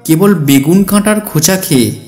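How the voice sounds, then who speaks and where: A man's voice: high-pitched, moderate pace, darkish tone, soft background music. A man narrating a children's story in a scripted storytelling style.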